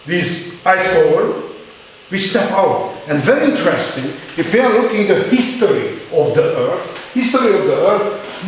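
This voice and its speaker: Hungarian-accented lecturer in an echoey hall. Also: male voice, fairly high pitch, a little hiss or hum. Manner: halting non-native English with many fillers. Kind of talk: university lecture. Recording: very echoey hall, mic far off.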